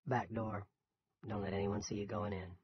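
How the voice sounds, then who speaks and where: A man voicing a scheming, pious, self-important small-town boss who gives quiet commands in an audiobook. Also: lowish voice, little pitch movement, normal speed, breathy edge, highs cut off. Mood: commanding.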